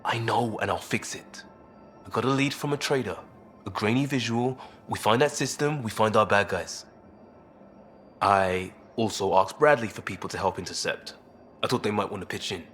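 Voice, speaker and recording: A man playing an impulsive, earnest crewman in a video game, speaking in a halting, emphatic way. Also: on the low side, fairly bright tone, soft background music, natural voice. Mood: sheepish. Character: impulsive crewman, earnest and defensive about blunders.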